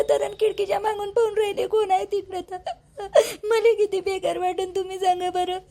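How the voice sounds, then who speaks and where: A woman's voice: very high voice, warm tone. A woman voicing a hurt, stifled young newlywed daughter-in-law in a village family cartoon, plaintive and complaining, with a Vidarbha Marathi accent. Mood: tearful, hurt. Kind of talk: rural family cartoon dialogue.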